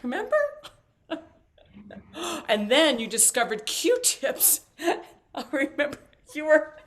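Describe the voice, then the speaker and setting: A woman's voice: sits high, sing-song, slow pace, full character voice. An actress playing a talkative, flighty, intrusive older mother in a stage play, chirpy and theatrical as she rambles. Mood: playful, needling.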